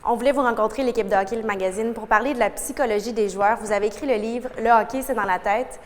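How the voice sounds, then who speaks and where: A woman interviewing a guest, asking brisk, prepared questions. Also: on the high side. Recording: reverberant room with faint noise.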